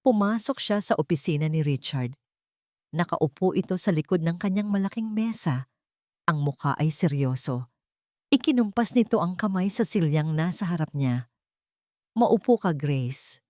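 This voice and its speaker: A woman narrating a scripted audio drama in a measured, dramatic storytelling manner. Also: fairly low pitch, lively intonation, mellow, no room sound, studio quality.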